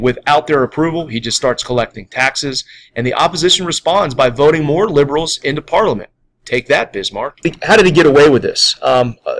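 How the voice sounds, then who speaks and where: Gravelly American man giving a history lecture. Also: mid-range voice, rough recording. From United States. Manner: conversational, explanatory, often tagging points with "okay" and "again". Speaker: history teacher. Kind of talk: informal recorded lecture.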